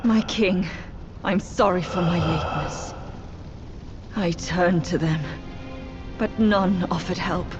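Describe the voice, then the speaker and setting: Slow, solemn pleading demon queen. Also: lowish voice, highs cut off, over music. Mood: sorrowful. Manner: formal, solemn, measured pleading. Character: sorrowful pleading demon queen, a devoted wife and mother. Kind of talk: dramatic video game acting.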